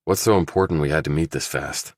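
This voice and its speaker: A man's voice: a bass voice, quick pace, darkish tone, no echo, studio quality. A man voicing a guarded, terse ex-soldier working undercover, in understated audiobook dialogue. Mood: questioning.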